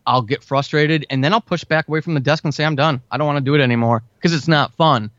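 A man's voice: fairly high pitch, fast, a bit bright, dry recording. A man speaking as a podcast guest, giving informal, conversational advice step by step in a casual interview. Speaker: podcast guest, writer and audio drama podcaster. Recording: dull, lo-fi, dry close sound.

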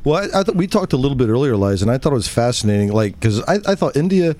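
A man's voice: medium pitch, big pitch swings, racing, warm tone. A man chatting casually as one of a group on a podcast. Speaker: podcast regular. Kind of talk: casual chat. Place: garage group recording.

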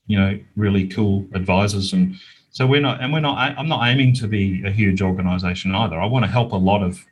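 Deep, slightly husky Australian man. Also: a little fast, rough recording. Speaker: interview guest, small business owner. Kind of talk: conversational interview answers.

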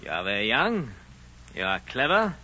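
An actor playing a shrewd, authoritative spymaster of European nobility in a 1940s radio drama, speaking formally and deliberately. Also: male voice, mid-range voice, huge pitch swings, bright, forceful tone, exaggerated voice. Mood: grave, flattering. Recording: muffled vintage radio recording, faint music.

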